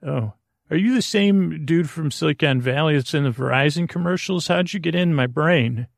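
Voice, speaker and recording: Casting: American male sleep-podcast host, gravelly and mumbly, rambles and restarts sentences, recorded in a small dry closet. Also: on the high side, dry recording. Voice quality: gravelly, creaky. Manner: meandering, halting, trails off mid-thought. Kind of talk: rambling bedtime podcast monologue.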